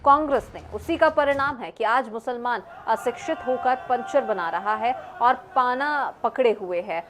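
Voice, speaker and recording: A woman's voice: fairly high pitch, moderate pace, over music. A woman anchoring live television news and handing over to reporters.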